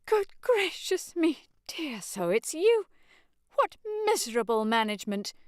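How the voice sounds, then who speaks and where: A woman's voice: high voice, big pitch swings, a little slow, no room sound, clean studio sound, pitched above the performer's own voice. A woman voicing a fussy, flustered older mother who exclaims in prim, scandalized outbursts. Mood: scolding.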